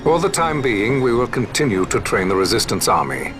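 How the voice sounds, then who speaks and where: An actor playing a determined resistance commander who speaks in formal, resolute sentences. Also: male voice, medium pitch, sing-song, brisk, music in the background, lightly acted. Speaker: resistance leader. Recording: game cutscene with loud orchestral score.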